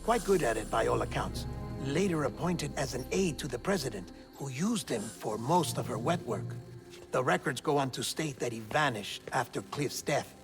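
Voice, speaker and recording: An actor playing an earnest, wry intelligence operative briefing a comrade in a video game cutscene, measured and pausing for drama. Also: male voice, medium pitch, lively intonation, close to an everyday voice. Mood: confiding, wry. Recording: game music behind the voice.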